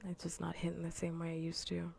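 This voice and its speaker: A woman livestreamer chatting softly in ASMR style, often whispering with a breathy, gravelly fry, in a casual, rambling way that repeats phrases. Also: fairly low pitch, monotone, fast talker, a little hiss or hum. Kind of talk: soft ASMR gaming livestream chat. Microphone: close ASMR microphone.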